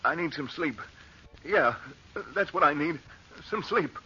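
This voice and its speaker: An actor playing a panicky, guilt-ridden man on the run in an old radio thriller, halting and melodramatic. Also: fairly high pitch, expressive, over loud music. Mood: weary, evasive. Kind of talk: 1940s radio thriller acting. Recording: muffled, faintly noisy old radio recording.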